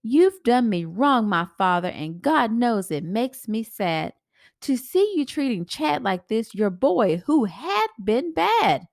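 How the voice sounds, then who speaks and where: Woman as an indignant elder son, raised pitch. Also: sing-song, takes it slowly, vocal fry, dry recording, studio quality, heavily acted. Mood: aggrieved. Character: resentful hardworking elder son, indignant and hurt.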